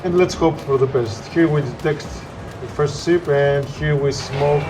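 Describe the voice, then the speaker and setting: Slow, halting Greek-accented man. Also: a little high, background noise. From Greece. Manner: halting, searching for words with frequent "uh". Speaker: gameplay commentator. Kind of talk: gameplay commentary.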